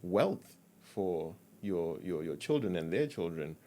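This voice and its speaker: A man from Zimbabwe, a sound engineer, talking as a podcast guest in a reflective, measured way in a studio. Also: low-pitched, big pitch swings. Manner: reflective, measured, trails off softly. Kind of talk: casual interview chat.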